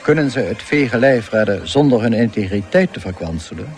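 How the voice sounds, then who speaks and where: A man narrating a comic space radio drama as a wry storyteller, in a measured, deadpan manner. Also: mid-range voice, soft background music, close to an everyday voice.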